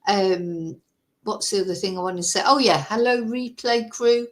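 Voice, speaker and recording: A woman from Manchester with a softened Mancunian accent, hosting a casual true-crime livestream, chatty and rambling, greeting viewers by name. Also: medium pitch, moderate pace. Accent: softened Mancunian English. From Manchester, England.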